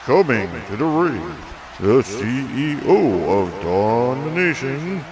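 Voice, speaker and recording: Cartoon ring announcer played by a man: grand, very drawn-out, swooping delivery, noisy background. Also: mid-range voice, sing-song. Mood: grand, booming.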